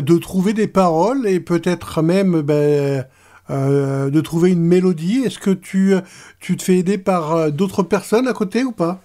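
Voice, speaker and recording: A man interviewing casually on radio, halting as he searches for his words. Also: fairly high pitch, mellow.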